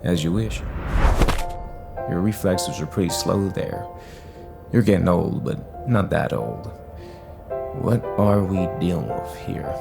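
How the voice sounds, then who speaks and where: Guy playing chill caring superhero pal, wry, low voice, music behind, audio drama. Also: takes it slowly, vocal fry, long pauses, exaggerated voice. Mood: teasing, concerned. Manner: relaxed, friendly, wry. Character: calm, caring, gently teasing male superhero, old friend.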